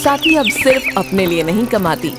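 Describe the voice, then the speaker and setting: A woman's voice: medium pitch, big pitch swings, normal speed, loud music behind the voice. A woman narrating a scripted cartoon story.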